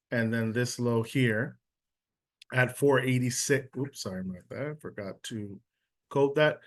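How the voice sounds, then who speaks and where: A man teaching a casual live trading lesson over a video call, checking in often with his listeners. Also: medium pitch. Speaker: trading instructor.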